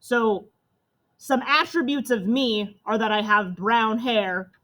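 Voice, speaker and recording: A young woman with a loud voice, teaching a math class step by step. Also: fairly high pitch, takes it slowly. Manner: step-by-step explaining to students.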